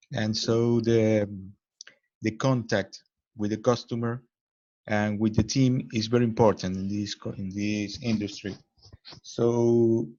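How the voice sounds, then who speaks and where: A man with a Venezuelan Spanish accent, a native Spanish speaker, introducing himself haltingly on a video call. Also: on the low side, mellow, lo-fi sound. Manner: halting, searching for words. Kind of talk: casual self-introduction.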